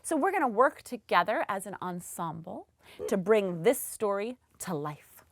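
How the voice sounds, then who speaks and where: A woman's voice: medium pitch, very animated, moderate pace, no echo. A woman hosting a children's read-aloud, speaking in an exaggerated, encouraging way to young children. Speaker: story-time host.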